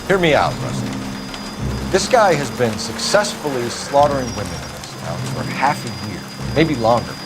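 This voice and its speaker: An actor playing an earnest, driven 1940s police detective in a video game, analytical and authoritative. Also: male voice, mid-range voice, very animated, normal speed, loud music behind the voice, close to an everyday voice. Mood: urgent, reasoning. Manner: intense, analytical, clipped authority. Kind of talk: dramatic video game acting.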